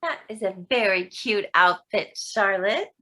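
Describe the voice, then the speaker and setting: A woman's voice: mid-range voice, lively intonation, a little slow, bright, forceful tone. A woman playing an indignant, protective partner of a grown daughter in a staged play reading. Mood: fond.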